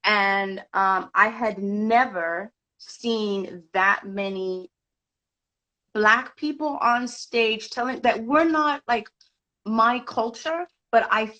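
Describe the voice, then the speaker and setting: A woman from Zimbabwe and Kenya, an interview guest telling her own story conversationally, reflectively, pausing to reword as she goes. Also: on the high side, pushed and bright, rough recording. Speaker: interview guest, actor and director. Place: live video call.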